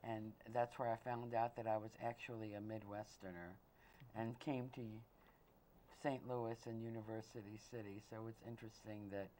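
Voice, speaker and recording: A woman born in Austria hosting an interview, asking questions in a measured, earnest way. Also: extremely low pitch, fairly even intonation, warm tone, slight background noise, low-quality recording. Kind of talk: community TV interview.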